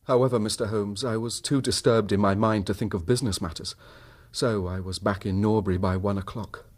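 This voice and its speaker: An actor playing a troubled, suspicious husband in a radio drama, speaking in formal, precise phrases. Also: male voice, on the low side, fairly even intonation, brisk, slight background noise, lightly acted. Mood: sombre, bitter. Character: troubled, suspicious husband recounting his wife's secret.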